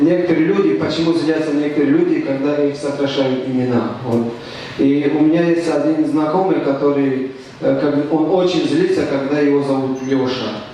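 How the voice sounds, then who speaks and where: Deadpan young comic. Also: male voice, fairly high pitch, distant mic. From Tajikistan. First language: Tajik. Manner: deadpan, halting with restarts and fillers. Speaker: stand-up comedian. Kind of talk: stand-up comedy set. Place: stage in a club. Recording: echoey room recorded from afar, faint noise.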